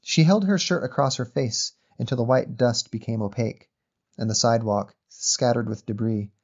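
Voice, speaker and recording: A man reading a literary short story as narrator in a measured, descriptive manner. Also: medium pitch, muffled recording.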